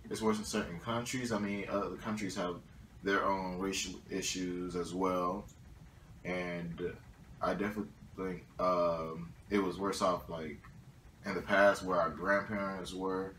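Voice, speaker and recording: A man's voice: sits low, level tone, unhurried, a bit bright, a little hiss or hum. A young man talking casually in a discussion, hesitant with many fillers. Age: young adult. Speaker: student panelist. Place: small indoor room at a table. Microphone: far camera. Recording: echoey room from far off.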